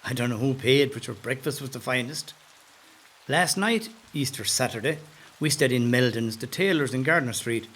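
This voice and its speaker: An actor with an Irish accent playing a rebel's affable, wry brother in a first-person audio drama monologue. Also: male voice, medium pitch, normal speed, a bit bright, lightly acted. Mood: affable, amused.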